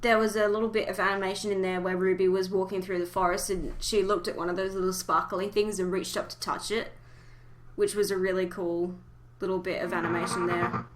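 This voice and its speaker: A woman hosting a casual fan discussion, talking emotively with hesitant pauses as she thinks aloud. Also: mid-range voice, level tone. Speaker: podcast host.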